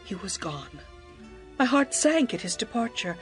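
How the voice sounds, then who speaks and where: A passionate young bride full of longing in a scripture drama. Her voice is medium in pitch, with poetic, expressive phrasing. Faint music runs underneath. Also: measured pace. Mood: breathless longing. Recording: music behind the voice.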